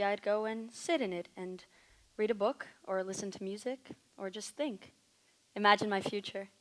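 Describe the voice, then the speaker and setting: A woman's voice: medium pitch, bright, forceful tone, lightly acted. A young woman acting in a school musical, playing a friendly, probing cafe worker. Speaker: stage actor and singer in a school musical. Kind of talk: musical theatre acting and singing.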